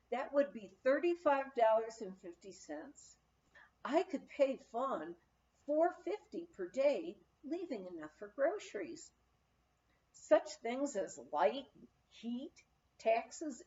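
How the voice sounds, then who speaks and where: High-pitched, lively woman reading a memoir. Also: lo-fi sound. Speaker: audiobook reader. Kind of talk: scripted memoir narration, read aloud.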